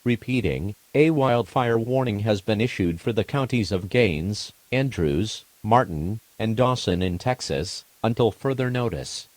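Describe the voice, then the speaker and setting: A low-voiced, official emergency broadcast announcer, played by a man. He reads scripted warnings in a measured, formal, impersonal manner. The sound is dry and close. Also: no echo, close to an everyday voice. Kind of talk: scripted emergency alert narration.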